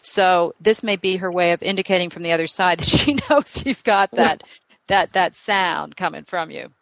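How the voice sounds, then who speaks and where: A woman's voice: fairly low pitch, creaky, fairly bright tone, dry recording, poor audio. A woman working as a psychic medium, thinking aloud with lots of fillers and firing off questions to a client during a reading. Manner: asks many quick questions in a row. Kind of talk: live reading session with a client.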